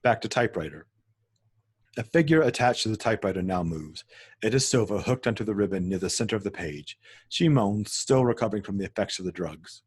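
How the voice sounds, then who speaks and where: A man serves as narrator for a screenplay table read, delivering scene headings and action lines. His voice sits fairly low and has a noticeably husky, rough edge. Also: expressive, a little fast. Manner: stumbles and corrects himself while reading. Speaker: narrator reading stage directions.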